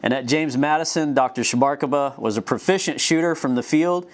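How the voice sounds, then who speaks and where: A man's voice: medium pitch, a little fast. A man hosting an interview in a studio, reading a scripted introduction and then joking with his guest. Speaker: host, professor. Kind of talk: interview host.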